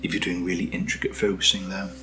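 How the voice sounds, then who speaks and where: A British man speaking in a soft, breathy near-whisper as he hosts a casual ASMR video, gentle and unhurried, close to the microphone. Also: deep voice, level tone, music in the background. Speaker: ASMR video host reviewing camera gear. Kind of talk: soft-spoken ASMR, casual unboxing chat.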